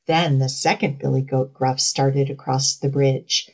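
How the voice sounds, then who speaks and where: A middle-aged woman leading children's storytime, speaking expressively and deliberately in simple phrases for young children. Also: a bass voice, steady tone, darkish tone. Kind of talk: children's storytime reading and instruction.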